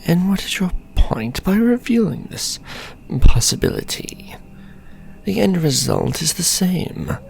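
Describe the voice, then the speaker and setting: A man playing a haughty, centuries-old vampire lord in an ASMR fantasy roleplay, speaking with pompous, deliberate contempt. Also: sits high, very animated, vocal fry, a little mellow, a little hiss or hum, exaggerated voice. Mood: imperious.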